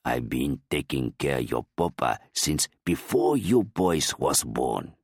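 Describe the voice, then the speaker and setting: A man playing a simple old gardener, extra deep, slow, plain broken speech, loyal. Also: very animated, breathy edge, no echo, studio quality, full character voice. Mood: earnest. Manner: plain, broken grammar, earnest. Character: old barrel-chested simple-minded male gardener, loyal.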